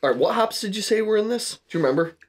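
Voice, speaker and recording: A man's voice: high-pitched, expressive, fast. Dude in his 30s talking tasting notes, voice swings up and down, sits at a table. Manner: descriptive tasting talk, teasing. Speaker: beer reviewer, co-host. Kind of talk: casual chat. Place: indoor room with a tasting table.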